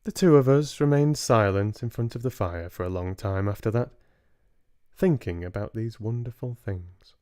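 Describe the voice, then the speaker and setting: A man in his twenties reading a children's story aloud in an expressive audiobook style. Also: fairly low pitch, normal speed, clear, resonant tone. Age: 20s. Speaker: reader and book club host. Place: small home room, indoors.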